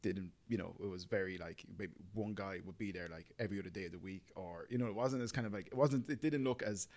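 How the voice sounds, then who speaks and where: An Irish man in his thirties talks fast in a casual podcast chat. His voice sits low, and his sentences wander with plenty of filler as he goes. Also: a little mellow. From Ireland. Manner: rambling, full of fillers like "you know". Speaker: guest, tattoo artist.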